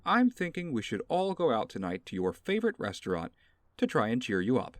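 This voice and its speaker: An actor playing a doting, easygoing father in an audio drama, with a gentle, playful, coaxing manner. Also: mid-range voice, huge pitch swings, brisk, no room sound. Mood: coaxing, comforting. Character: doting, easygoing wealthy father cheering up his daughter.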